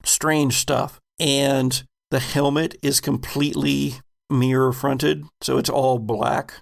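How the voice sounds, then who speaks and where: Male game master: heavily gravelly, unhurried, deliberate storyteller. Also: mid-range voice, little pitch movement. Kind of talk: narrating a tabletop game.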